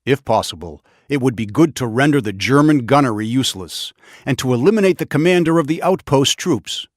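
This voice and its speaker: An actor playing a grave, resolute army officer in a war game, giving a scripted briefing in a deliberate, commanding way. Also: male voice, mid-range voice, lively intonation, no room sound, clean studio sound, close to an everyday voice. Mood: resolute, urgent. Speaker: military commander.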